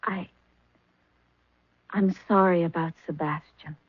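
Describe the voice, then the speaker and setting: Husky, demure young femme fatale. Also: female voice, on the low side, steady tone, slow, dry recording. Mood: flustered. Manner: apologetic, coy, polite. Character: demure, soft-mannered young female poisoner feigning innocence. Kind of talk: 1970s radio mystery drama acting. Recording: muffled, dry lo-fi 1970s radio recording.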